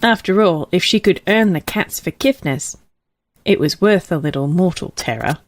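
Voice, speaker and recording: A woman's voice: on the low side, expressive, normal speed, no echo. A woman reading a whimsical story aloud as a scripted audiobook narrator.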